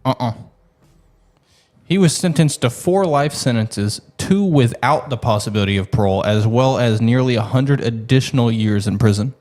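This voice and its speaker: Man, average pitch, blunt, informal, conversational. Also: moderate pace, darkish tone. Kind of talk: casual chat.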